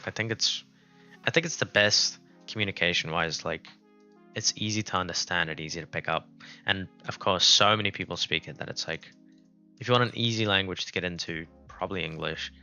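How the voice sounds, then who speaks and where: A man's voice: low-pitched, vocal fry, bright, forceful tone, dull audio. A man from South Africa with a South African accent, whose first language is Afrikaans, chatting casually and thinking aloud on a live game stream. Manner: rambling, thinking aloud, unscripted. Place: indoors at a laptop. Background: music playing.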